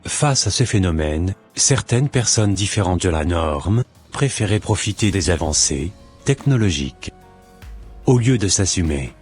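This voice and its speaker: Man doing a cartoon doctor, middle-aged, preachy, deep, husky, soft background music. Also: unhurried. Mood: lecturing. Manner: calm, lecturing and preachy. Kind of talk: amateur cartoon voice acting.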